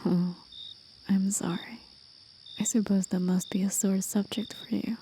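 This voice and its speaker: Woman as contemplative demon guide for ASMR roleplay: hushed, mellow whisper, unhurried, faint hiss and crickets behind. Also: medium pitch, flat delivery, close to an everyday voice. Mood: gentle, reflective. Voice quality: soft, breathy whisper. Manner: slow, contemplative, soothing. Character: gentle, musing demon guide, curious about humans.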